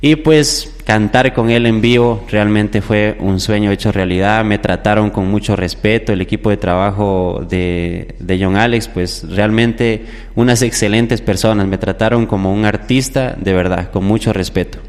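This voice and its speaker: A man's voice: lowish voice, steady tone, moderate pace, hardly any pauses, clean tone. A young man from Ecuador, a singer telling stories conversationally as an interview guest. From southern Ecuador. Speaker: musician guest, popular music singer. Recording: echoey hall-like reverb.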